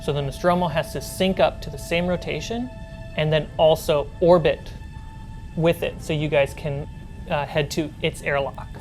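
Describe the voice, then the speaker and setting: A woman's voice: sits low, steady tone, moderate pace, a little mellow. A woman narrating a tabletop role-playing game as game master, explaining things step by step. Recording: background music under the voice.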